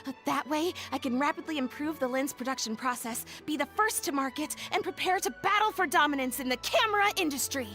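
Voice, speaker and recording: A woman's voice: high voice, a little fast, few pauses, sharp tone, soft background music. A woman voicing a dramatic, grandiose young female engineer in a video game. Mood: excited. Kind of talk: English video game voice acting.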